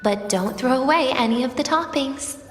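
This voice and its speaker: Actress doing wistful young student girl from a game, kinda high and soft, tender but bitter, echoey with music. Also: close to an everyday voice. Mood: tender, gentle. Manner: soft, slow, lingering, at times breaking into whispers. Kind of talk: dramatic game voice acting. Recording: echoey long reverb with music behind.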